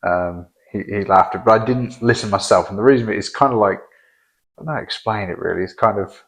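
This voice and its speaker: A man's voice: lowish voice, brisk, gravelly, a bit bright. A man reacting casually to an old video of himself, hesitant and self-deprecating, often restarting his sentences. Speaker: husband, co-host.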